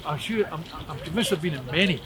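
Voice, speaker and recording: Wry, higher-voiced man in a crowd. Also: expressive, fast. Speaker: interviewee. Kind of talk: casual chat. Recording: crowd noise around voice.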